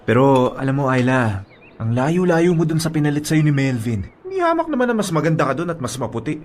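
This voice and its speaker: An actor playing a chummy young male drinking buddy in a Filipino radio drama, talking in a coaxing, animated way. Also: fairly high pitch, fairly quick, a little hiss or hum. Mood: teasing.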